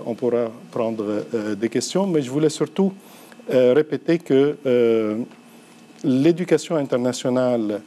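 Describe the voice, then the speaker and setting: A middle-aged man, an Arabic speaker, delivers a thoughtful conference talk in a mellow, warm tone. He speaks at an even, measured pace and pitches his voice in the middle of the male range. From Lebanon.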